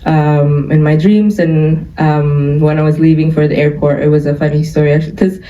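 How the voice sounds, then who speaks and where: A woman's voice: sits low, fairly even intonation, moderate pace, warm tone. Distant, roomy young woman. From Indonesia. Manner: chatty, laughs often, frequent "um" and "like". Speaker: filmmaker guest. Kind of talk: interview storytelling. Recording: roomy, distant, dull-sounding studio audio.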